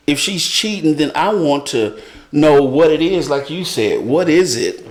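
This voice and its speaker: A middle-aged man in a casual panel discussion, speaking haltingly and repeating words for emphasis. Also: fairly high pitch, lively intonation.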